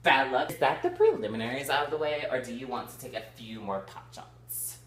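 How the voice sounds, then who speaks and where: Giddy, wildly animated book reader in echoey room. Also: medium pitch, a little fast, creaky. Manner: giddy, easily derailed, theatrical, swearing. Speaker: reader in a comedic group book reading. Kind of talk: amateur comedic read-aloud of a novel with giggling asides. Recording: roomy echo, faint background noise.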